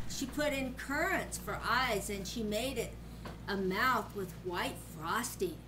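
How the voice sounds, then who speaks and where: Grandma reading a kids story, really lively pitch, bit of noise behind her. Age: older. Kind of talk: animated storybook reading aloud.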